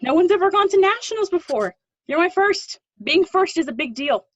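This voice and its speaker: A woman's voice: extremely high pitch, lively intonation, moderate pace, muffled recording, noisy background. A woman acting an earnest, pushy drama teacher with faded dreams, encouraging and wistful in a play readthrough. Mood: insistent, supportive.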